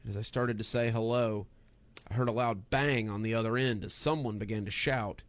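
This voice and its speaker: A man's voice: lowish voice, steady tone, a little fast, no echo. A man reading a scripted first-person horror story as its narrator.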